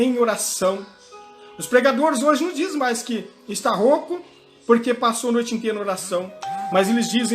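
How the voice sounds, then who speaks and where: A male pastor preaching a sermon in a church, often asking and answering his own questions and tagging lines with "né?" and "amém?". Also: extremely high pitch. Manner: peppers talk with "né?" and "amém?", asks and answers.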